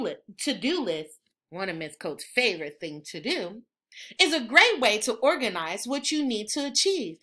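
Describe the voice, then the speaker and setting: A woman reading a children's book aloud in an expressive, instructional way, like a teacher. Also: a little high. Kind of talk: animated children's read-aloud.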